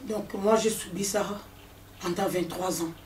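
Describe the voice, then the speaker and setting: A woman's voice: fairly low pitch, fairly even intonation, unhurried, darkish tone, recorded from a distance. Emphatic woman in an echoey room. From DR Congo, raised in Angola. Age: 40s. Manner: emphatic, repeats her points. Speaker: guest. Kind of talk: personal testimony in a discussion. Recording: echoey room, speaker off mic.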